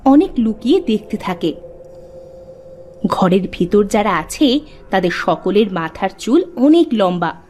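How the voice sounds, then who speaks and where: A woman's voice: mid-range voice, sing-song, a little slow, long pauses, a little mellow, slight background noise. A woman storyteller reading scripted narration for a cartoon fairy tale. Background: background music.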